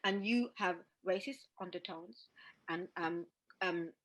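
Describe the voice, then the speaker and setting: A woman's voice: lowish voice, takes it slowly, poor audio. Emphatic woman hosting a chat. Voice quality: emphatic, repeats words while thinking. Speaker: podcast host. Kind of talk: casual discussion.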